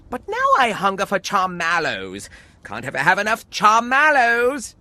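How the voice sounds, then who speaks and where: A paranoid fussy creature engineer. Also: male voice, high voice, sharp tone, heavily acted. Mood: eccentric. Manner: formal, old-fashioned, theatrical. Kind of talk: video game voice acting.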